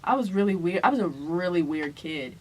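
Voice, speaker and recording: A woman's voice: lowish voice, animated, a little fast, a little hiss or hum. Playful young woman telling a story casually. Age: young adult. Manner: playful, teasing, dramatic retelling. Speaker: vlogger telling a couple story.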